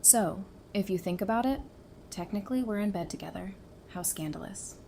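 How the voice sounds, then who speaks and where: A woman's voice: medium pitch, expressive, normal speed, vocal fry, warm tone, a little hiss or hum. A woman playing a caring, stubborn and playful survivor in an audio drama. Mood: playful, tired. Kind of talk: fan audio drama acting.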